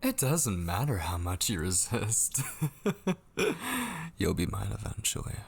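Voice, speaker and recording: A man acts out a possessive, obsessed male kidnapper for an ASMR roleplay. His low voice is husky and gravelly, coaxing and menacing by turns. He often sinks into breathy whispers, very close to the microphone. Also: huge pitch swings, slow pace, full character voice. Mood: taunting, menacing. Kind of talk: ASMR audio roleplay acting.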